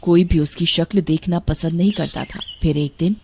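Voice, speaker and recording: A woman giving scripted storytelling narration for a cartoon, in a mellow voice. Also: lowish voice, steady tone, moderate pace, a little hiss or hum.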